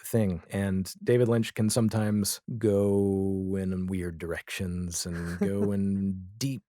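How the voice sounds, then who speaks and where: A man talking casually and enthusiastically as a podcast guest, pausing deliberately and stressing key words. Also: deep voice, flat delivery, mellow, no echo, clean studio sound. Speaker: podcast guest, audio drama creator. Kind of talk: casual enthusiastic interview talk.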